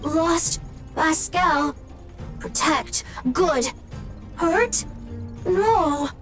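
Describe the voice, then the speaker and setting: Squeaky, halting little robot. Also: female voice, a bit bright, exaggerated voice. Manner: halting one-word utterances, repetitive. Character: small childlike malfunctioning robot, excitable and unstable. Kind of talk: video game character dialogue. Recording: faint game music behind the voice. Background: faint game music.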